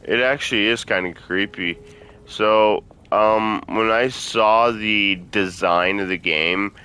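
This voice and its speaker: A man's voice: lowish voice, takes it slowly, sharp tone, clean tone. A man giving casual let's-play commentary, talking informally to his viewers and swearing freely. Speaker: gaming video host.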